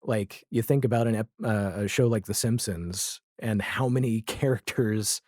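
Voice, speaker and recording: A man talking casually as a podcast guest, animated and rambling, with a self-deprecating streak. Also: on the low side, moderate pace, no echo, clean studio sound. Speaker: podcast guest, audio drama creator. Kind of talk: casual podcast interview chat.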